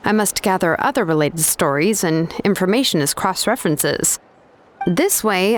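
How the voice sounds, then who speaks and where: A woman's voice: medium pitch, natural voice. A woman voicing an easygoing female artisan and name engraver in a video game, a chatty storyteller who drops casual fillers.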